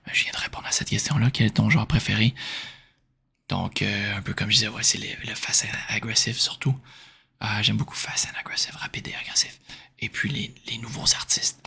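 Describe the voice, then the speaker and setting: A man from Quebec with a Québécois French accent, speaking in a soft, breathy whisper as he informally answers questions in an ASMR style. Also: fairly low pitch, fairly even intonation, fairly quick. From Quebec, Canada. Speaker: ASMR video creator answering viewer questions.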